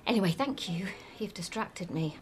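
An actress playing a sceptical, quietly sad woman friend in a naturalistic radio drama, dry and teasing. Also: on the low side, animated, normal speed, a little hiss or hum, close to an everyday voice. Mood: gentle, curious. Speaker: actor.

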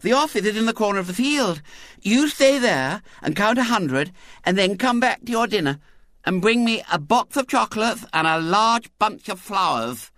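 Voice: mid-range voice, lively intonation, moderate pace, a bit bright, no echo, voice pushed up, natural voice. A storyteller voicing an imperious, simpering little girl with a sweet, lisping, wheedling manner. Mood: bossy, happy. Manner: lisping, sugary, pleading.

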